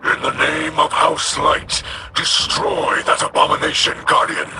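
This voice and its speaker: A man voicing a proud alien warrior-noble in a video game, formal and solemn, with a breathy, hoarse rasp. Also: fairly high pitch, flat delivery, sharp tone, heavily acted. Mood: stern, indignant. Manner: formal, solemn, measured diction. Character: grave, proud male alien warrior-noble of a fallen house. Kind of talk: scripted video game voice acting. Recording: game music behind the voice.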